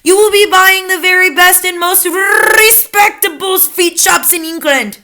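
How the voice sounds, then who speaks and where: A woman's voice: very high-pitched, measured pace, sharp tone, voice pushed up, heavily acted. A woman voicing a tiny, tyrannical female witch leader who shrieks orders in oddly broken grammar. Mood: commanding.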